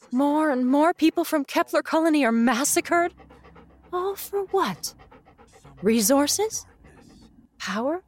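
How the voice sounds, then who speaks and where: An actress playing a warm, worried older mother with dry wit in a science fiction audio drama. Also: high voice. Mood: sorrowful.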